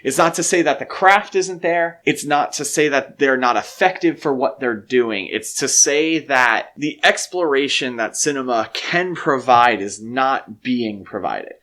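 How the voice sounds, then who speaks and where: A man's voice: medium pitch, a little slow, hardly any pauses, bright, forceful tone. A man co-hosting a podcast, discussing film casually in an opinionated, analytical way. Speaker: podcast co-host.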